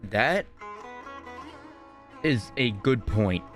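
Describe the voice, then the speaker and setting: A man's voice: lowish voice, expressive, very slow, fairly bright tone, soft background music. A man chatting casually as he streams and reacts to a video game. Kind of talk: casual livestream chat.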